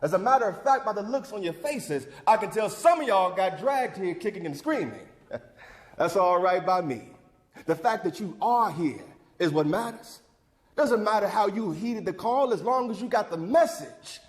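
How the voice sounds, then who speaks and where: Guy acting as older community leader giving a rousing folksy speech, really high voice for a man, super echoey hall. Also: expressive, moderate pace. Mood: folksy, welcoming. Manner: preacherly, emphatic, folksy, rousing cadence. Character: John Wesley Dobbs, fervent older civic leader rallying a church crowd. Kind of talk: theatrical monologue, oratorical speech. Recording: echoey hall with long reverb.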